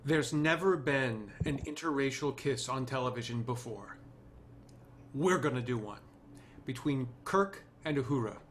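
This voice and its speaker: A man's voice: medium pitch, a little slow, a little hiss or hum, close to an everyday voice. A man playing a brash, womanizing 1960s television producer in a casual, cocky way at a script reading. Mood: defiant. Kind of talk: dramatic screenplay table read.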